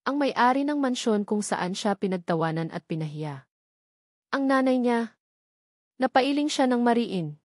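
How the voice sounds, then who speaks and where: A woman's voice: a little high, animated, measured pace, long pauses, no echo. Woman narrating a dramatic story, dry sound. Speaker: audiobook narrator.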